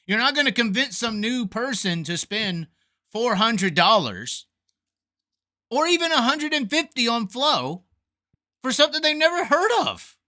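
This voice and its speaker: A man's voice: very high-pitched, measured pace. A man hosting a sprint car racing call-in show, talking in a fired-up, repetitive, emphatic way. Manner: animated, repeats himself, fired up and emphatic. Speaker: podcast host. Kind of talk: casual opinionated call-in chat.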